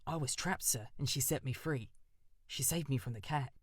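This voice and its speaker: A man's voice: mid-range voice, moderate pace, slightly rough, no room sound, studio quality, natural voice. A man voicing a polite, eager young male crow in a storybook reading. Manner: polite and respectful.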